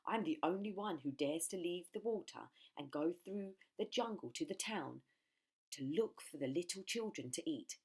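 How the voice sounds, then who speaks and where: A woman voicing an enormous, greedy male crocodile in a children's storybook, boastful and relishing every drawn-out word. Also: fairly low pitch, expressive, darkish tone, breathy edge.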